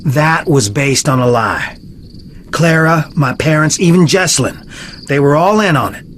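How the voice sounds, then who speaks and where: A man's voice: a little high, expressive, a little slow, fairly bright tone, slight background noise. An actor playing a conflicted, defensive young man in a video game, earnest and guarded. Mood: defensive, torn. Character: conflicted, defensive young fiancé of a missing woman.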